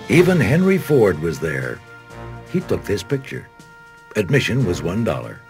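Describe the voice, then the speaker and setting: American male narrator, lively intonation, dramatic storytelling, scripted documentary delivery, prominent music behind. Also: on the low side.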